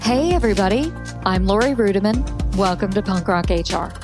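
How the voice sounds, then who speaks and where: A woman's voice: mid-range voice, expressive, brisk, loud music behind the voice. Upbeat woman hosting a podcast. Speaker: podcast host. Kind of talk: scripted intro and casual interview.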